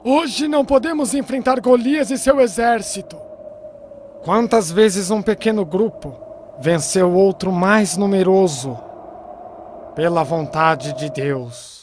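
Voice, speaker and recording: A high-pitched ancient soldier in a cartoon, speaks slowly and with dramatic emphasis. He sounds fearful, with clear noise behind him. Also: a little mellow. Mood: grave, urgent. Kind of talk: dubbed cartoon acting. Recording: noisy background ambience.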